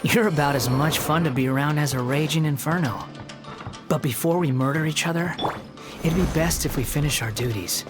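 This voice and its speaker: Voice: mid-range voice, brisk, over music, close to an everyday voice. An actor voicing a proud, sardonic young male puppet villain in a game, haughty and cutting. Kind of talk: scripted game voice acting.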